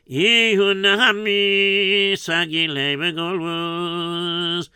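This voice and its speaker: A man's voice: mid-range voice, gravelly, sharp tone, smooth, no room sound, studio quality, heavily acted. A man voicing a humble young religious student who chants in a sing-song and answers with hesitant stammers. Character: humble young male yeshiva student, devout singer.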